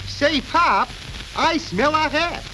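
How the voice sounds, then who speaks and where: A man's voice: very high voice, animated, slow, sharp tone, exaggerated voice. A man playing a car dealer's fault-finding young son, clipped and dismissive, in a 1930s radio comedy. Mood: alarmed. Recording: crackly, hissy 1930s radio recording.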